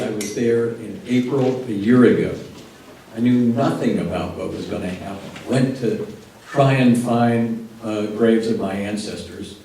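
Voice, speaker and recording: A man's voice: medium pitch, mellow. Texas-raised man asking an earnest question. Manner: personal, earnest storytelling. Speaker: audience member asking a question. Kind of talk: spontaneous question from the floor. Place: lecture hall. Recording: echoey hall, distant mic, faint noise.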